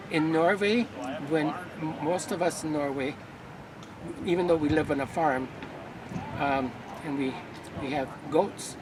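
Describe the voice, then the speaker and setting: A man playing an old-time Norwegian immigrant fisherman, telling tall tales in a droll, deadpan way outdoors. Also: fairly high pitch, recorded from a distance, close to an everyday voice. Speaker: living-history storyteller. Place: outdoor festival grounds. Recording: outdoor noise, voice a bit off mic.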